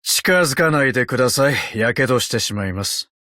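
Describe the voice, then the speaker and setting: An ancient undead detective in a tin shell, speaking as a video game character. He is courteous and wry, with gentlemanly phrasing that swings widely in pitch. The voice sits at a medium male pitch and is recorded very dry and close. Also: lively intonation, dry recording. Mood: genial, teasing. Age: older. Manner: polite, unhurried, sardonic gentlemanly speech. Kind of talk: Japanese game character voice lines.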